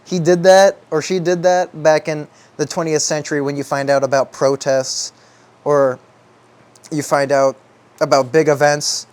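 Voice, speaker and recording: Higher-voiced young man thinking aloud. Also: level tone, measured pace, a little mellow, clear, resonant tone. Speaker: video essayist. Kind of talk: rambling reflective monologue.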